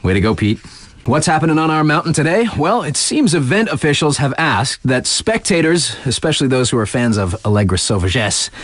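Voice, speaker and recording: Lively, wisecracking radio DJ. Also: male voice, on the high side, a little fast, no room sound. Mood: wry, nostalgic. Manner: wry, deadpan joking asides. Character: laid-back wisecracking male mountain-town radio DJ in a snowboarding game. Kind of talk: casual scripted radio DJ patter.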